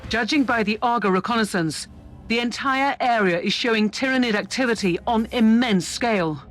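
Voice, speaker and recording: A man's voice: extremely high pitch, normal speed, fairly bright tone. A man playing an earnest, dutiful starship captain in a video game, reporting formally and with urgency. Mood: concerned. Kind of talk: dramatic video game dialogue. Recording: faint music behind.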